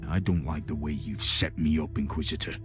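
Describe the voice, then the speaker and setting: An actor playing a stern, suspicious male inquisitor in a video game, speaking in a terse, authoritative, measured way. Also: rumbling low, steady tone, a bit bright. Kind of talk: dramatic video game cutscene acting. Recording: music behind the voice.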